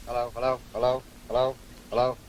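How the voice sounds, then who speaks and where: A man's voice: mid-range voice, little pitch movement, brisk, close to an everyday voice. An actor playing a tough henchman in a 1940s film serial, reporting in a clipped, businesslike way. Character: brisk tough male henchman of a crime boss. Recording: noisy, echoey, distant 1940s film soundtrack.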